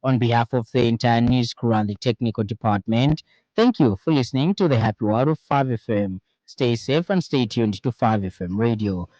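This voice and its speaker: Zambian newsreader, close dry sound. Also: male voice, mid-range voice, normal speed, dark tone, no echo, highs cut off. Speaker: radio news presenter. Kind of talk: scripted news bulletin reading. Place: radio studio.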